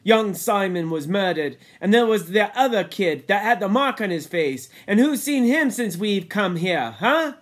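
A man's voice: high voice, lively intonation, a little slow, few pauses, voice pushed up. A man voicing an indignant, pleading young boy in a storybook reading, speaking insistently in colloquial schoolboy grammar.

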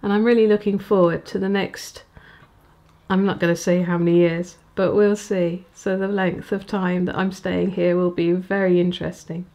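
A woman in her forties, a vicar, chatting to camera in a small room at home, with small halting restarts. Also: on the low side, level tone, dark tone. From England. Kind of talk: casual vlog to camera. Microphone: handheld recorder near the camera.

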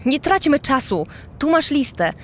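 A woman's voice: high-pitched, big pitch swings, unhurried. A woman playing an urgent, businesslike officer in a true-crime podcast drama, speaking matter-of-factly.

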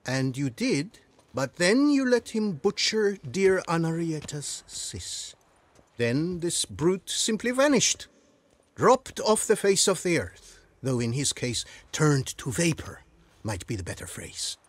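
An actor voicing a roguish, swaggering prison inmate in a video game, theatrical and chatty. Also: male voice, a little high, very animated, slow, no echo, full character voice. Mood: smug, teasing. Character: cocky, roguish male jailbird, self-styled prison king.

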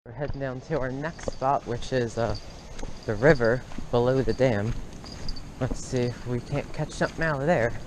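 A young man narrating casually to viewers while fishing outdoors, with relaxed, muttered asides, recorded on a head-mounted camera. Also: medium pitch, normal speed. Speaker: fishing vlogger. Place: outdoors by a lake and river. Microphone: head-mounted action camera. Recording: noisy outdoor action-camera audio. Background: outdoor ambience.

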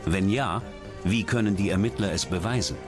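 A man's voice: on the low side, normal speed, over loud music. A man reading scripted true-crime narration as a documentary narrator.

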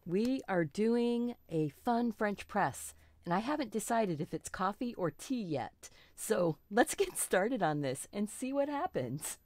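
A middle-aged woman with a slightly froggy voice, hosting a relaxed live painting lesson and chatting reassuringly with little asides and self-corrections. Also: mid-range voice, measured pace, no echo. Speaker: art teacher hosting a live stream.

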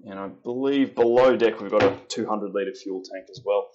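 Aussie guy, lowish voice, chill boat tour, just wandering round the cabins showing stuff. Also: moderate pace, highs cut off. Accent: Australian. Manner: relaxed, chatty, pointing out features as he walks. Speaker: boat owner giving a tour. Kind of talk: casual walkthrough tour. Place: inside a timber boat's cabins.

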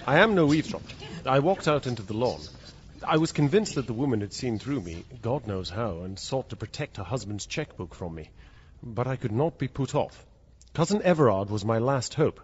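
Actor as animated, well-spoken British gentleman narrator. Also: medium pitch, normal speed, natural voice. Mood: uneasy. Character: polite, anxious, impecunious English gentleman narrator. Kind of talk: dramatic first-person narration and dialogue. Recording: dull, faintly noisy recording.

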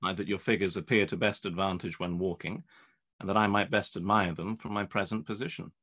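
A young man playing a proud, reserved English gentleman in a period radio play, formal and measured. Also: low voice, fairly even intonation, full character voice. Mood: proud, guarded. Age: young adult. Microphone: video call.

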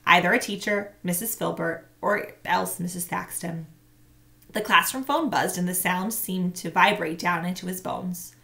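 A woman in her thirties, a teacher, reading a book aloud with an expressive, animated delivery into a webcam at close range in a small room at home. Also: fairly low pitch, creaky. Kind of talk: read-aloud storytelling.

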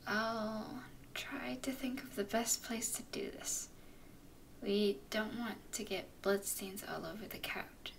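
Woman performing affectionate vampire girlfriend, ASMR roleplay, breathy whisper, highish with some fry, gentle and comforting. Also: distant mic. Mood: gentle, reassuring. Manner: soothing, affectionate, unhurried. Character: tender, doting female vampire girlfriend comforting her nervous partner.

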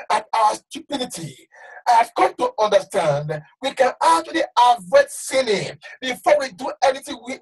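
A man preaching a sermon with fervor, shouting one moment and dropping to hushed warnings the next. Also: high voice, slightly rough. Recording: voice from far off the mic.

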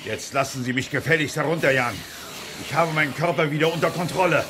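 A man playing a gruff, proud older general in a German audio drama, with a blustering, exclamatory manner. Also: on the high side, fast, very noisy, lightly acted. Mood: indignant.

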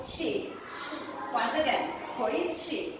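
A woman teaching English to small children, drilling short phrases slowly and clearly with cheerful praise, in a classroom filmed from a distance. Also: high-pitched. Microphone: far camera. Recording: echoey classroom from far off, noisy.

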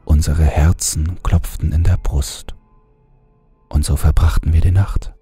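A man reading a horror story aloud in a calm, measured first-person narration. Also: extremely low pitch, husky, faint music behind.

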